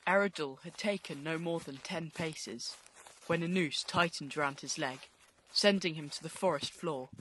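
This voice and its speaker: A man's voice: on the high side, moderate pace, fairly bright tone, slight background noise, poor audio. A man reading scripted storytelling narration for a fantasy audio play.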